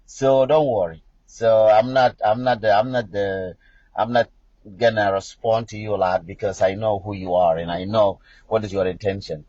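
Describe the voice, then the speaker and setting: A middle-aged South Sudanese man talks back at viewer comments in a husky, low voice. He is combative and repetitive and keeps hammering the same point. The sound is dull and lo-fi, and the room is dry. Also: fairly even intonation, moderate pace, a little mellow, no echo. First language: Nuer. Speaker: livestream commentator. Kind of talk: livestream talk answering viewer comments.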